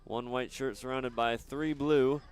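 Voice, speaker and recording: A man doing live soccer commentary outdoors, chatting casually and haltingly between plays. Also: mid-range voice, steady tone, smooth. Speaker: sports play-by-play announcer.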